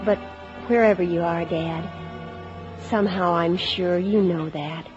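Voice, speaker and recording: A woman's voice: on the low side, fairly even intonation, measured pace, natural voice. A young woman playing an earnest, devoted teenage eldest daughter who also narrates, in 1950s radio acting style. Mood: tender pride. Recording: muffled old radio broadcast, music behind.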